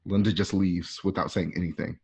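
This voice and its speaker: A man's voice: deep voice, steady tone, brisk, gravelly, darkish tone. A man reading stage directions as narrator for a radio play over a dull online call. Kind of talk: scripted narration. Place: online live stream call.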